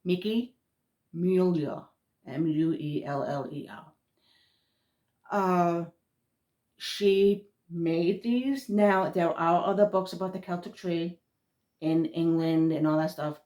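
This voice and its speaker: Low-voiced woman with a Massachusetts accent. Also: slow, long pauses, dark tone. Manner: rambling, trailing off, restarts words. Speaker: spiritual vlogger. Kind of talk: casual rambling chat.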